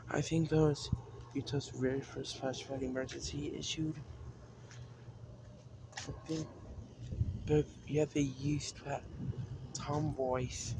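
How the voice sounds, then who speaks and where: A man giving an unscripted vlog-style talk as a video creator, haltingly, often repeating and restarting his phrases. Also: medium pitch, noisy background. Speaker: video creator announcing a decision to fans.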